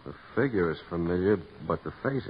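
An actor playing a cocky, smart-alecky stand-up comedian in a 1940s radio drama. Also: male voice, sits low, lively intonation, fairly quick. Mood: wisecracking. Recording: lo-fi old radio broadcast with noise.

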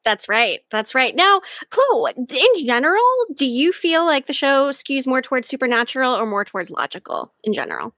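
A woman's voice: high voice, big pitch swings, normal speed, hardly any pauses, pushed and bright, dry recording, studio quality. A woman hosting a live-streamed fan podcast and guiding the chat in an upbeat way. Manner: upbeat, moderating. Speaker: podcast host.

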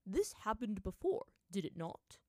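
A woman's voice: medium pitch, big pitch swings, a little slow, a little mellow, no echo, studio quality. Casting: female reader as old male monkey spirit, storybook fantasy. Curt, aloof, grumpy, dismissive delivery. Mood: irritated, flat.

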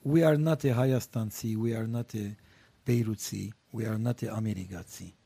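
Man, husky voice, blunt and profane, guest in a chat. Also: fairly low pitch, normal speed, mellow. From Lebanon. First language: Armenian. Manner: blunt and provocative, swears freely. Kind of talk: casual panel chat.

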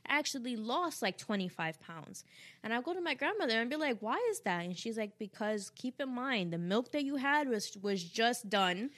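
A young woman hosting a podcast, telling stories in a lively, casual way and often checking in with "okay?". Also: a little high, moderate pace. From Dominican heritage, raised in the United States. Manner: animated storyteller, punctuates with "okay?". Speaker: podcast host. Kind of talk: casual chat.

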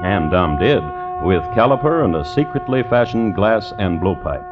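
An actor playing a wry crime storyteller, narrating in droll, deliberate vintage radio style. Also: male voice, on the low side, animated, lightly acted. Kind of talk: 1950s radio scripted narration. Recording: dull old radio recording. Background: plucked guitar music.

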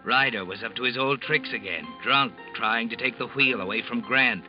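An actor playing an ominous, insinuating narrator who taunts a guilty schemer in old-time radio suspense style. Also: male voice, medium pitch, moderate pace, close to an everyday voice. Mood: knowing, sardonic. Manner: insinuating, taunting second-person address. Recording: muffled old radio recording with loud music.

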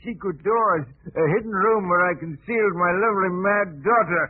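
An actor playing a scheming, murderous middle-aged uncle, melodramatic and agitated, in 1940s radio drama style. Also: male voice, high voice, moderate pace. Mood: bitter confession. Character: scheming murderous uncle, desperate, contemptuous father. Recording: noisy muffled vintage radio broadcast.

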